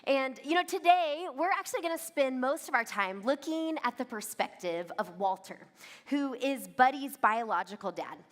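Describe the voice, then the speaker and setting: A woman's voice: sits high, animated, normal speed. A woman in her thirties preaching a conversational sermon, speaking directly and relatably to a congregation. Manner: relatable, addresses listeners directly with reflective questions. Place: large church venue with long reverb. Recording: reverberant hall, amplified sermon.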